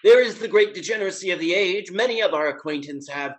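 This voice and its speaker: A young man playing a merry, hard-drinking gentleman rake who declaims grandly in a classical stage comedy. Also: high-pitched, expressive, quick pace. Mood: merry, boastful. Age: young adult. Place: home room, video call.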